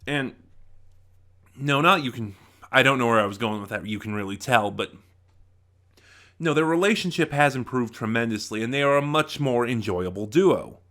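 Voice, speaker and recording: A man giving an informal spoken review as a video host, often halting and rephrasing himself as he thinks aloud. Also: mid-range voice, expressive, fairly bright tone.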